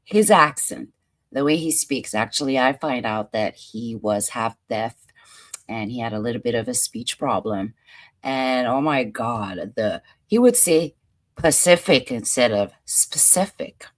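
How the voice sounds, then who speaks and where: French lady hosting, low voice, slow and playful, chatty. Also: lively intonation. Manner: chatty, playful, laughs and muses aloud. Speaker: host. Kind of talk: casual commentary on news clips.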